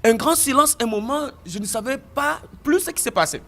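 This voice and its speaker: A man giving a testimony in an interview, telling his story with animated delivery, stammered restarts and repeated phrases. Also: very high-pitched, moderate pace. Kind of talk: recounting a personal story in an interview.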